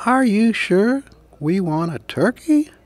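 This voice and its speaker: A man's voice: high voice, sing-song, slow pace, voice pushed up, heavily acted. A man voicing a hesitant little pilgrim in a storybook, with a doubtful manner. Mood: reluctant. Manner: doubtful, questioning. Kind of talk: storybook character voice.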